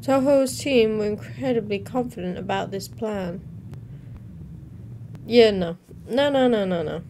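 A young woman hosts a reaction video in a casual, informal way. Her voice sits at a medium pitch. She reads text aloud and drops in her own comments, recorded indoors with some faint noise behind her. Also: unhurried, warm tone. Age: young adult. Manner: informal, interjecting between read-out captions. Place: small room at home, indoors. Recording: noticeable background noise.

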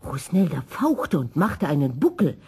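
Husky woman storyteller, lively intonation. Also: deep voice, moderate pace. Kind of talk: scripted children's story narration.

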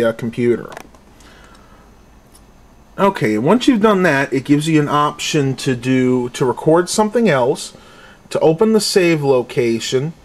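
A man giving a casual hands-on tech demonstration and review, speaking into a handheld camera at home. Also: mid-range voice. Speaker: product reviewer. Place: small furnished room at home. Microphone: handheld camera's built-in mic, held by the speaker.

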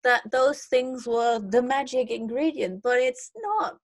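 A woman's voice: on the high side, a little slow. A middle-aged woman whose first language is Dutch, an illustrator talking animatedly about her watercolor workflow on a video call, often restarting her words. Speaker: traditional illustrator on an online panel. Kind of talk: casual conversation about art workflow. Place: cluttered art studio, indoors.

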